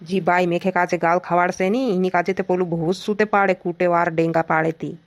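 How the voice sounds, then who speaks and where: A woman's voice: lowish voice, level tone, fairly quick, close to an everyday voice. A woman voicing a stubborn, argumentative young village son in a cartoon comedy, always complaining and answering back.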